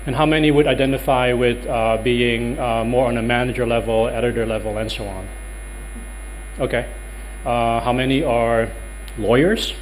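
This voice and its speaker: A man's voice: medium pitch, fairly even intonation, normal speed, slight background noise, low-quality recording. A man in his thirties with a Dutch accent giving a workshop talk in a conference room, hesitant with many ums and uhs. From Netherlands. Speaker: lecturer.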